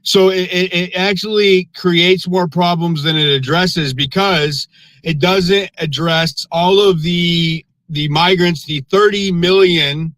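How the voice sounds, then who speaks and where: A man with a somewhat high voice argues in a live online audio room, speaking forcefully and talking over an interruption. He often restarts with repeated words. The sound is close and dry, with no room around it. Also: dry recording, poor audio. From United States. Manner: forceful, lecturing, repeats words when starting. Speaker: panelist in live audio space. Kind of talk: heated political argument.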